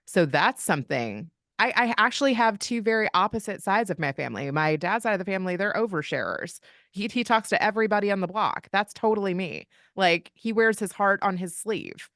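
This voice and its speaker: A woman's voice: mid-range voice, animated, a little fast, a bit bright, dry recording, studio quality. A woman from Kentucky, a podcast guest, telling family stories in an open, chatty way. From Kentucky, United States. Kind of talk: casual interview conversation.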